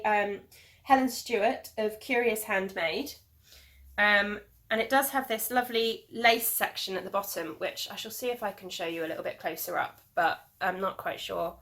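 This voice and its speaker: A woman's voice: medium pitch, a bit bright. A woman, hosting a knitting video podcast from home in a rambling, chatty way with many ums, a little sniffly from hay fever. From England. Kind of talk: casual video podcast chat.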